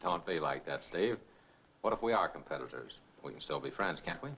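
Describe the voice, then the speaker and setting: An actor playing a rival trucking operator, falsely friendly and pushy with veiled menace, in 1940s film noir style. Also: male voice, very deep voice, expressive, fairly quick. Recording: muffled, noisy 1940s film soundtrack.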